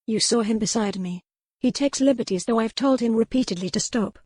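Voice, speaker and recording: A woman's voice: fairly high pitch, fairly quick, a little mellow, breathy edge, dry recording, pitched above the performer's own voice. Female narrator playing young society lady, flustered but proud, formal, emphatic; romance audiobook, dry studio sound. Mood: indignant. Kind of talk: audiobook character acting.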